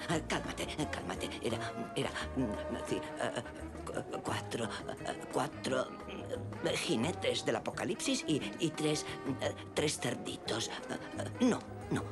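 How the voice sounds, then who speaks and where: A fast-talking fake oil tycoon bluffs his way through every question with stammers and restarts. His pitch leaps and dips constantly. Film music runs behind him. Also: animated. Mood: muttering, straining to recall. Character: flustered male con man posing as a rich oilman. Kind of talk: dubbed film acting.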